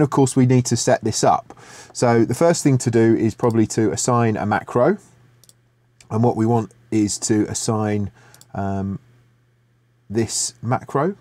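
A middle-aged man talks through a music production tutorial from his home studio, pausing and restarting as he thinks aloud. His voice sits a little low and the sound is dry and close. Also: no room sound. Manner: relaxed, thinking aloud with many fillers and restarts. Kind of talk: screen-share software tutorial.

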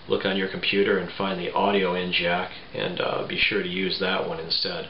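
A man in his forties giving a casual step-by-step tutorial in a halting, plain-spoken way. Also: deep voice, little pitch movement. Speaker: tutorial presenter. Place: small home room, indoors. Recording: roomy, muffled, faint background noise.

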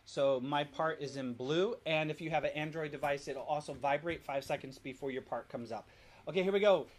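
A man's voice: on the high side, fairly quick. A middle-aged man, a father casually directing his family and explaining steps as he goes. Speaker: father leading a family activity and app demonstration. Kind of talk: casual instructional family vlog. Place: family home.

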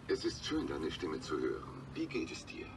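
A man's voice: rumbling low, huge pitch swings, normal speed, gravelly, heavily acted. A man playing a polite, dry-witted talking car computer in a formal, precise manner. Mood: formal, courteous. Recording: distant car speaker, noisy outdoor pickup.

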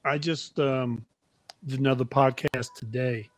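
A man's voice: mid-range voice, steady tone, a little slow, no echo. A middle-aged man hosting a podcast in a casual, chatty style. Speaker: podcast host. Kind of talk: casual chat.

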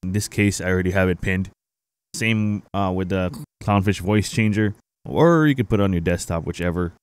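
Low-voiced man giving a casual tutorial. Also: normal speed, smooth, no echo. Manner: informal, explanatory, addressing viewers. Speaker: tutorial host, gaming streamer. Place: indoor home streaming setup. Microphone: close boom-arm microphone.

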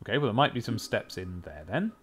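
Animated man commentating on a game. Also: on the low side, fast talker, husky. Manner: chatty, thinking aloud. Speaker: gaming video host. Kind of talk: casual game commentary with in-game text read aloud. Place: voice-over on game capture.

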